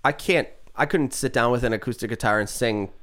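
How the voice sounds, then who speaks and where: A young man, a songwriter guest, talking in an easygoing, agreeable way. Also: a little fast. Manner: easygoing, agreeable, lively pitch. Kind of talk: casual chat.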